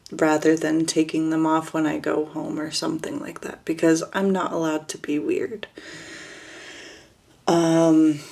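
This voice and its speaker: A woman with a low, slow voice speaks in a steady monotone that rarely rises or falls. She hesitates often, with fillers and restarts, as she casually introduces herself to her viewers. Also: vocal fry. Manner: monotone, flat delivery.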